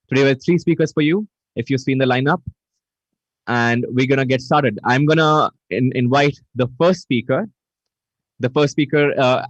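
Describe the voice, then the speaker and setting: A man's voice: medium pitch, dry recording. A man hosting a live online event over a video call, greeting viewers and reading their chat messages aloud. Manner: energetic, repeats greetings, reads chat aloud. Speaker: event host. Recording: dull, dry video call audio.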